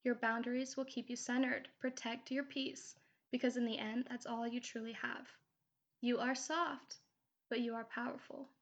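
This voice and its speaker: A woman's voice: on the high side, little pitch movement, moderate pace, muffled recording. Woman with a cold, encouraging host. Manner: earnest, encouraging, occasional casual swearing. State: has a cold. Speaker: podcast host. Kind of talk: affirmation podcast monologue.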